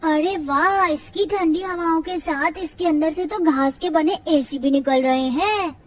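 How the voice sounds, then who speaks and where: A woman's voice: very high voice, measured pace, soft background music. A woman voicing a whiny, eager young child in a cartoon story, pleading and exclaiming. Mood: amazed. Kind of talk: Hindi cartoon story acting.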